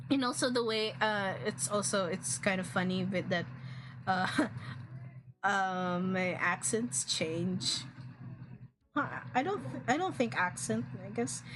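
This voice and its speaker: A woman's voice: medium pitch, slow pace, pauses often, fairly bright tone, slight background noise, low-quality recording. A young woman with a Filipino accent, whose first language is a regional Philippine one, chatting casually on a drawing stream with many fillers and restarts. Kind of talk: casual chat while drawing.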